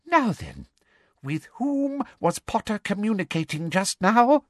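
A performer voicing a domineering, greedy middle-aged female official in an audiobook, sharp and demanding, with a falsely sweet streak. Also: mid-range voice, very animated, a little slow, dry recording, studio quality, pitched above the performer's own voice. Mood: eager.

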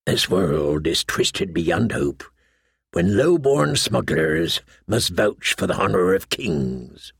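A man voicing a grave, plain-spoken low-born smuggler in an audiobook, sober and measured. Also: sing-song, vocal fry, fairly bright tone, husky, no room sound, clean studio sound, heavily acted. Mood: weary. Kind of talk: audiobook character voice.

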